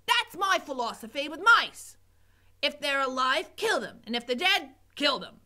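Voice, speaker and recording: A woman voicing a bossy, bad-tempered cook who shouts and scolds in rough speech. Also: high voice, big pitch swings, a little slow, pitched above the performer's own voice, heavily acted.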